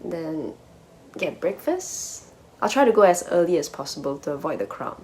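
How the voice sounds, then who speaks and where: A woman's voice: lowish voice, a little mellow. A young woman with a Malaysian accent, chatting casually to camera in a vlog and often correcting and teasing herself. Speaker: vlogger. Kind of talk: casual sit-down vlog chat. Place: hotel room.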